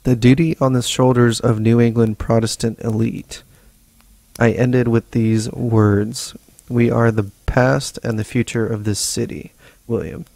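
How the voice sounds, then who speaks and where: Gravelly man reading game notes aloud. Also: mid-range voice, flat delivery, a little mellow, dry recording. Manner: reads in-game notes slowly and evenly, with short casual asides. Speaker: let's play host. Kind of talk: casual gameplay commentary and reading notes aloud.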